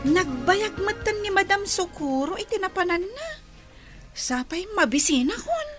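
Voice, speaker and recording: A woman's voice: very high-pitched, big pitch swings, measured pace, over music, exaggerated voice. A woman playing a young schoolgirl in a radio drama, with an Ilocano accent. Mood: plaintive. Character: young girl pupil in a village school.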